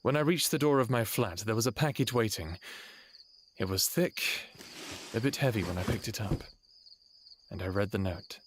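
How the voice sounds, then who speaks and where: A man playing a battle-scarred, lovesick human with a husky voice, wry and brooding, in an audio drama. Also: lowish voice, normal speed, long pauses, noisy background, lightly acted. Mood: longing. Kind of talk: first-person audio drama narration and dialogue.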